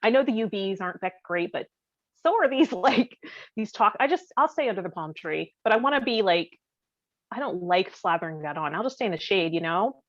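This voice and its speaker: A woman's voice: mid-range voice, lively intonation, a little fast, dry recording. Candid joking woman, slightly muffled dry audio. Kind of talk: casual chat.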